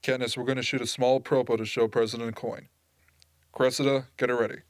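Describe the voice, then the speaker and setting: A man's voice: mid-range voice, level tone, brisk, no echo, studio quality, lightly acted. Businesslike male film director played by an actor. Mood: commanding. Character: businesslike male propaganda director giving orders. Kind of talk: amateur radio drama acting.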